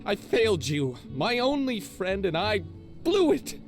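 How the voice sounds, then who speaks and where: Pompous, theatrical high-pitched game hero. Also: male voice, very animated, soft background music, full character voice. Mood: distraught. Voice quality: theatrical, exaggerated delivery. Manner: grandiose, mock-heroic, drawn-out emphatic phrasing. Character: pompous, boastful self-styled protector hero, insecure underneath. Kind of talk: video game voice acting.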